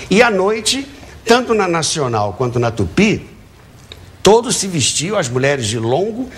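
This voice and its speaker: An older man from Rio, in his seventies, speaks as a guest in an interview. His voice sits a bit high and swings widely in pitch. He tells his anecdotes eagerly, repeating words and posing rhetorical questions. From Brazil, Rio de Janeiro. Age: early 70s. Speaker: talk show guest, author of a book on radio theatre. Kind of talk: animated anecdotal storytelling in an interview. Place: TV talk show studio with audience.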